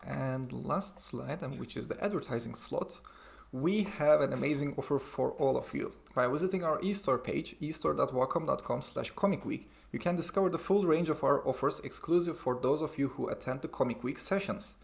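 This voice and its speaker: A man's voice: mid-range voice, moderate pace, vocal fry. A man hosting an online event over a video call, reading out promotional announcements and introducing a guest. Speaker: webinar host.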